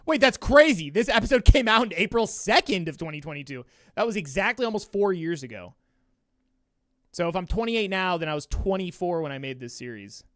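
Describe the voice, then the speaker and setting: A young man in his twenties talking casually on a livestream, sniffly and quick to laugh. His fairly high voice jumps around a lot. The sound is dry and close. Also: dry recording. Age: 20s. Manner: animated, laughs often, slangy. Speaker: streamer hosting a watch party.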